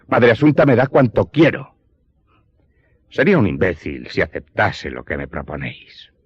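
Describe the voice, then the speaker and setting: Guy doing a cocky lazy teen boy, mocking and haughty, low voice, muffled radio play sound. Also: big pitch swings, moderate pace, husky, no echo, heavily acted. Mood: scornful. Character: insolent, lazy thirteen-year-old boy scorning honest work.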